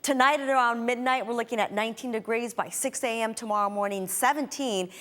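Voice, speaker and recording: A woman delivers a weather forecast in an ad-libbed broadcast style. Her voice has a middle pitch, and she talks through the conditions as a studio presenter. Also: a little fast, fairly bright tone.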